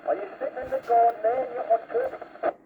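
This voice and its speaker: Male CB operator, high animated voice, casual chat on a tinny radio link. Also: fast. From northern England. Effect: two-way radio transmission. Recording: tinny, noisy long-distance CB radio.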